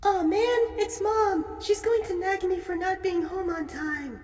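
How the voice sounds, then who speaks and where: A man voicing a sullen, wistful teenage robot girl in an animated-style story. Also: very high voice, unhurried, distant mic, pitched above the performer's own voice. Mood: dreading.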